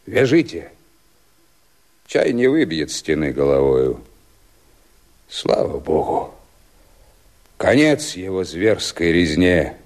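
A man's voice: sits low, a little mellow, full character voice. An actor playing a hard, scornful rebel who turns on his leader, speaking weighty, commanding verse in a radio play.

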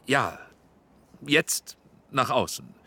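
An actor playing a cautious middle-aged male film director who speaks in a halting, diplomatic way. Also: mid-range voice, sing-song, bright, forceful tone, slightly rough, dry recording, heavily acted. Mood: hesitant. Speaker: dialogue director on set. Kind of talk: radio drama acting.

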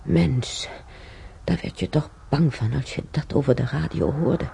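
An actress playing an older woman in a radio play, musing haltingly and sorrowfully over the past in a husky, gravelly voice. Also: a bass voice, mellow, a little hiss or hum, full character voice. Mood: anxious, bitter. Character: older woman, sorrowful, brooding, recalling war years. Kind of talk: radio play monologue, reminiscing.

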